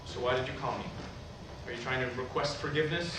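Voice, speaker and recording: A man's voice: mid-range voice, quick pace, lightly acted. A man playing a pompous, judgmental middle-aged preacher in a staged play reading, disdainful and self-important. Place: staged reading filmed in a roomy venue. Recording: echoey room, far mic, faint noise.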